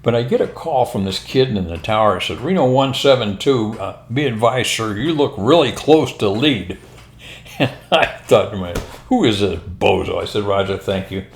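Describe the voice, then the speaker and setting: Older american guy, ex pilot, really low voice, rambling through stories casually, roomy echo. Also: lively intonation, normal speed. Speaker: retired military and airline pilot telling stories. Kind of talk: casual anecdotal storytelling. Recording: roomy, echoing room sound.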